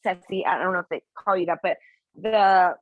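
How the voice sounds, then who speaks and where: A woman instructor teaching a test prep class, lively and asking the class questions as she explains with examples. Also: lowish voice, moderate pace, no room sound, muffled recording. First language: English.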